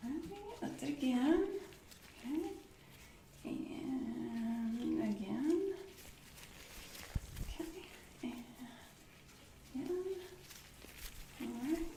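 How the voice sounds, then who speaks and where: A soft-spoken woman doing an ASMR medical roleplay as a doctor, murmuring reassuring step-by-step instructions to a patient. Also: high voice, animated, very slow, long pauses, reverberant, distant mic, low-quality recording. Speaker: ASMR performer playing an orthopedist. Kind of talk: ASMR medical roleplay, one-on-one personal attention. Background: clicks and handling sounds of props.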